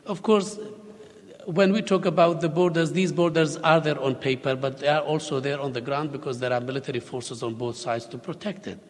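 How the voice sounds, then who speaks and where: A man giving measured, diplomatic remarks as a panel speaker in a conference hall. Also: on the high side, fairly even intonation. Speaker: panel speaker for a regional government. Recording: long reverb of a conference hall.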